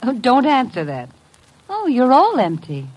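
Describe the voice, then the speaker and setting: Lively, brittle party hostess. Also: female voice, high voice, unhurried, slightly rough, full character voice, rough recording. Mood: wry, edgy. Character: brittle, sardonic married hostess at a drinks party. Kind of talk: old-time radio drama acting.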